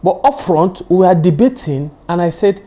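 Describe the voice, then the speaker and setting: Sex: man. language: Yoruba. Role: football pundit hosting his own show. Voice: mellow, warm. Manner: chatty, digressive, repeats his points. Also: high voice, expressive, normal speed. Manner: chatty and digressive, repeating points for emphasis, with frequent "you know". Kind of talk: casual solo football analysis.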